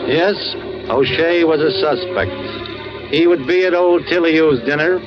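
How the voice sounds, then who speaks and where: A man's voice: on the high side, natural voice. Man playing an ominous old-radio narrator over music. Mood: brooding, wry. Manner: measured, ominous, literary. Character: brooding, erudite storyteller recounting murder suspects. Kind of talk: 1940s radio drama narration. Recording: muffled old radio broadcast recording.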